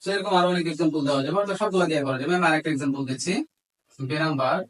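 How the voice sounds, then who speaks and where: Male teacher, Bangladeshi accent, slightly high voice, methodical step-by-step explanation with examples dictated word by word. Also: very fast, slight background noise, low-quality recording. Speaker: English grammar teacher. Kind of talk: instructional lesson mixing Bengali explanation with English examples.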